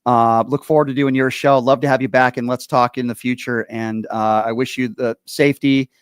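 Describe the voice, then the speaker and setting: A man's voice: medium pitch, little pitch movement, brisk, smooth, no room sound, studio quality. A man from Connecticut hosting an interview, effusive and affirming toward his guest. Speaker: podcast host. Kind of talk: interview conversation.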